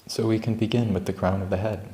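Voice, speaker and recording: A man leading a guided meditation as a teacher, speaking calmly and gently with unhurried pauses between phrases. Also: fairly low pitch, echoey room. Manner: calm, unhurried, gentle instruction with long pauses. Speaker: meditation teacher at a Buddhist monastery. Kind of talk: guided meditation, unscripted spoken instruction. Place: indoor room at a monastery.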